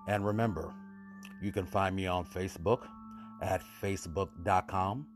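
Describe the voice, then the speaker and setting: A man's voice: sits low, steady tone, moderate pace, soft background music. A man hosting a show, giving a sign-off to listeners. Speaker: podcast host. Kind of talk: podcast sign-off over music.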